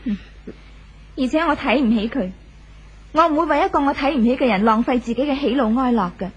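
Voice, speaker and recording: An actress playing a proud, cutting young wife in a 1970s radio drama, cool and scornful. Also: female voice, high-pitched, brisk, breathy edge, highs cut off. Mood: cool, aloof. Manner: haughty, cutting. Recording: old 1970s radio broadcast.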